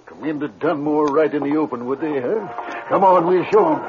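An actor playing a commanding, tough sheriff in an old-time radio western. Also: male voice, on the high side, brisk, over music, close to an everyday voice. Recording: tinny old radio recording, faint noise.

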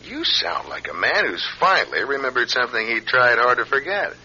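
Taunting radio-play blackmailer: very sharp, raspy voice, mock-casual drawl, insinuating, wildly swooping pitch. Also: male voice, big pitch swings, brisk, full character voice. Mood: sly, toying. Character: sly, taunting male blackmailer toying with his victim. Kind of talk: dramatic radio play acting. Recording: dull, lo-fi radio recording.

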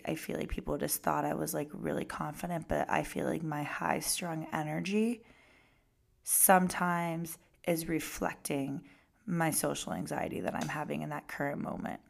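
A young woman hosting a casual solo podcast, her voice raspy and hoarse with a gravelly fry running through it. She sits low in her range and chats loosely, dropping "like" into almost every sentence. She sounds relaxed and unscripted, as if talking to listeners she knows. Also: moderate pace. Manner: chatty, lots of "like" fillers. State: hoarse from singing and screaming. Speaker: podcast host.

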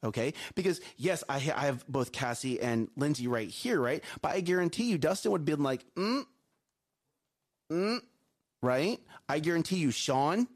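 A man co-hosting a morning show, a coach with a loud voice, giving emphatic advice and often checking in with "okay?" and "right?". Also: on the high side, animated, moderate pace. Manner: emphatic, repeats himself, asks "okay?" and "right?". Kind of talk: casual chat, preachy life advice.

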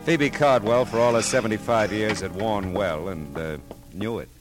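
An American actor playing a tough, world-weary private detective in 1950s radio noir, wry and hard-boiled in delivery. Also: male voice, fairly low pitch, normal speed, music in the background, natural voice. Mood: wry, narrating. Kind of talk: 1950s radio noir acting, first-person narration.

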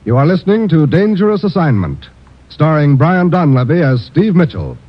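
A man's voice: fairly high pitch, very animated, moderate pace. A man announcing a radio show in a dramatic mid-century broadcast style. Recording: muffled old radio, loud music.

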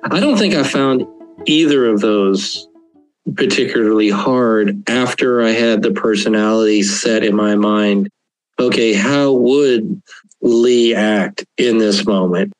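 A man's voice: on the low side, takes it slowly, no echo, soft background music, poor audio. A man, an author being interviewed, talking casually with lots of fillers and the odd chuckle. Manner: rambling, chuckling, many fillers. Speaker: author, guest.

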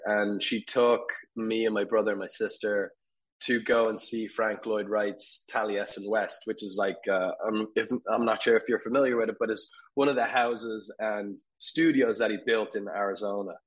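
A man in his thirties, from Ireland, talking casually as an interview guest, rambling with fillers and soft laughs. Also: fairly low pitch, rough recording. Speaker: guest, furniture company CEO.

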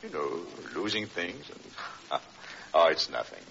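Sharp-voiced, controlling, condescending husband. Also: male voice, sits low, sing-song, a little slow, exaggerated voice. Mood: smoothly patronizing. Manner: smooth, condescending, insinuating. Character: controlling, falsely solicitous husband, quietly menacing. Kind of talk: old-time radio drama acting. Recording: dull, noisy vintage radio recording.

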